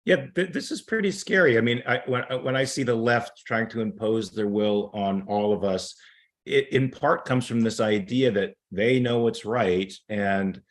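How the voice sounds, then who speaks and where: A man's voice: fairly low pitch, moderate pace. A man hosting a panel discussion, talking conversationally and handing questions to the others.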